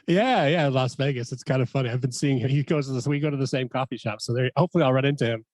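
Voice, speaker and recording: A middle-aged man hosts an interview from a studio, speaking fast and eagerly into a close microphone. The sound is dry with no room echo, and he trips over his words as he rushes ahead. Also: mid-range voice, a little mellow. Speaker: podcast host. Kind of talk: casual interview. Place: radio studio, indoors.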